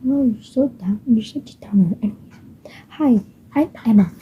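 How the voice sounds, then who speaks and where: Cheerful eager young girl, excitable and bubbly, as a woman puts her on, soft and breathy, on a dull, muffled home recording with faint noise behind. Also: fairly high pitch, moderate pace, close to an everyday voice. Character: cheerful eager eleven-year-old girl.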